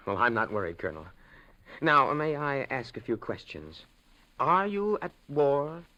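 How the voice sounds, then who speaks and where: Earnest young time traveler, 1950s radio drama. Forceful, expressive, hesitant bursts, dull vintage sound. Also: male voice, medium pitch, measured pace. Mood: curious. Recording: muffled old radio recording.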